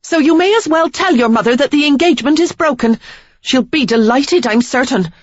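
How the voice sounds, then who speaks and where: A woman narrator voicing an ardent, defiant young woman in an audiobook, speaking high and emphatically. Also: moderate pace, warm tone, husky, no room sound. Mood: defiant, angry. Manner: pleading then fiery, high and emphatic. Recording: slightly dull lo-fi recording.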